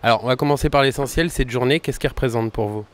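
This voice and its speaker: A young man interviewing a guest, asking friendly questions quickly and often correcting himself mid-sentence. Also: mid-range voice. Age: young adult. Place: outdoors at a summer concert event.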